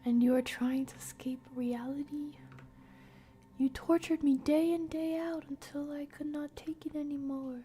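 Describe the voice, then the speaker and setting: A woman streamer voicing a vengeful, accusing victim from a horror game, breathy and whispery, with a taunting menace. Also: high voice, steady tone, a little slow, warm tone, voice pushed up. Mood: bitter accusation. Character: vengeful, accusing victim haunting a murderer's dream. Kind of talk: dramatic read-out of horror game dialogue.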